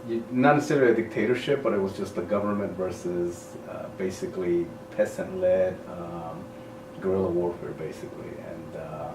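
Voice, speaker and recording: A man in his forties from El Salvador speaks in a low, measured way, trailing off softly as he finishes each thought. He sounds a little far from the mic, in an echoey room with a faint hiss behind him. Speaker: high school principal. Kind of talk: casual interview.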